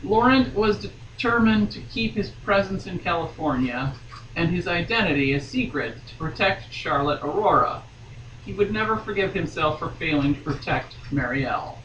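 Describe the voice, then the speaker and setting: A woman's voice: on the low side, lively intonation, moderate pace, a bit bright. A woman reading a novel aloud dramatically, breaking off for playful, mock-dramatic asides. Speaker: podcast guest reading a romance novel aloud. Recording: dull, roomy, distant mic, faint noise.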